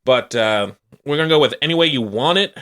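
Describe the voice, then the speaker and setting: A man's voice: medium pitch, expressive, fairly quick, a bit bright. A man hosting a radio show, chatting casually and introducing songs in an informal, laid-back way. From Canada. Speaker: community radio host.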